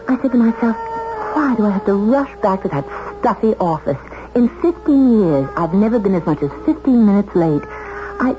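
An actress playing a timid, earnest, polite secretary in a 1970s radio drama. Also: female voice, lowish voice. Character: timid, proper, lonely long-serving office secretary. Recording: muffled, noisy 1970s radio recording.

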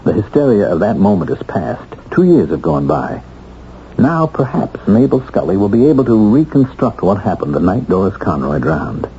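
An American actor playing an earnest husband determined to clear his name in a 1970s radio drama, speaking deliberately with weighted pauses. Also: on the low side, very animated, warm tone. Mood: intent, suspicious. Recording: muffled, noisy 1970s radio broadcast.

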